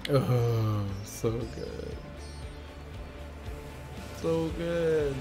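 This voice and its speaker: A man's voice: mid-range voice, extremely slow, over music. A man in his thirties casually reacting to game cutscenes as a streamer, close to a desk microphone. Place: small indoor streaming room.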